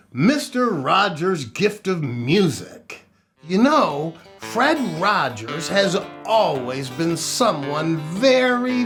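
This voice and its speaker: Animated, slow-paced children's storytime host, a man. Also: a little high. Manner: theatrical, exaggerated delivery with sing-song exclamations and pauses. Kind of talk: playful children's show presenting with dad jokes.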